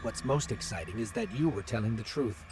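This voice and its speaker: An actor playing a wary, dry-witted mission companion in a video game, speaking in a pointed, skeptical way. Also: male voice, on the low side, expressive, fairly quick, heavy background noise, natural voice. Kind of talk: video game dialogue acting.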